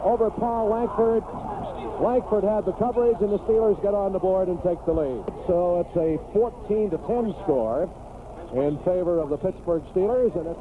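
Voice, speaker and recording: A man calling American football play-by-play live on the radio. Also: high voice. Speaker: play-by-play sports announcer. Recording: noisy tinny 1980s radio broadcast.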